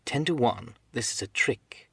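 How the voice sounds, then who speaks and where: A man's voice: mid-range voice, animated, unhurried, creaky, bright, forceful tone, slightly rough, no room sound, heavily acted. Man playing a stern, commanding sea captain. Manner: curt, authoritative. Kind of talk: audiobook character acting.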